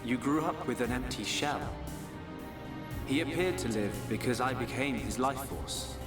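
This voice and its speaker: A man's voice: mid-range voice, a little slow, close to an everyday voice. Man voicing an echoing, solemn, aloof god. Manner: solemn, formal, declamatory. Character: serene, aloof god reborn, pronouncing fate. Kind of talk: video game cutscene acting. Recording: distant echoing voice under loud music.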